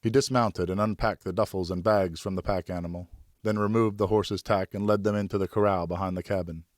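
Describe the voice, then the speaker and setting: A male audiobook narrator with a fairly deep voice. He tells a historical story in the first person, expressively and deliberately, in a very dry recording. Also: fairly even intonation, dry recording. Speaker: audiobook narrator, professional voice actor.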